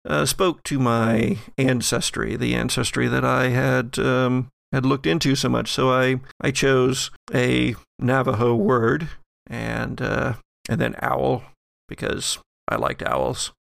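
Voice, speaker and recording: A man acts out an earnest, bookish occult scholar in a horror audio game. His mid-pitched voice is heavy with creak, and he speaks haltingly and modestly in a dry, close recording. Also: a bit bright, no room sound, full character voice. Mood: hesitant, confiding. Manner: halting, deliberate, self-effacing. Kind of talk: improvised in-character actual-play roleplay.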